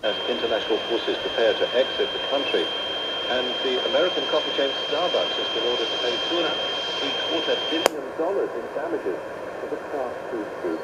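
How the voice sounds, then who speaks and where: A man's voice: medium pitch, animated, a little fast. Man presenting news through heavy shortwave noise. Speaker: radio news presenter and interviewer. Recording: muffled, very noisy shortwave radio. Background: loud radio static.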